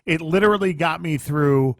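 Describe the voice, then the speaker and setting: A man's voice: a little high, moderate pace, no echo, clean studio sound. A man co-hosting a podcast in casual, informal and appreciative talk. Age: adult past college and military years. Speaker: podcast co-host. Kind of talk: casual chat and show sign-off.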